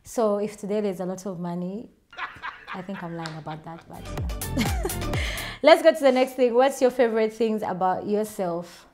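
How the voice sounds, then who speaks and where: A woman's voice: mid-range voice, music in the background. Chatty Ugandan woman vlogger. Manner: chatty, self-assured, quick laughs. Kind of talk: casual chat answering viewer questions.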